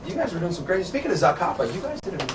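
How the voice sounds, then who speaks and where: A man's voice: high voice, very animated, racing. A middle-aged man hosting a casual interview in a hotel room, upbeat and laughing often. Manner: enthusiastic, laughs often, calls people dude. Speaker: interviewer, show host. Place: hotel room with curtains, indoors. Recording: roomy, distant, noisy camera sound.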